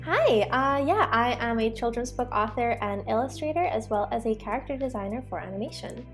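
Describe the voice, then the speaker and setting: A young woman from Pakistan, an author and illustrator, answering interview questions in a relaxed, reflective way with many ums and likes. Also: on the high side, a little fast, faint music behind. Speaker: guest, children's book author and illustrator.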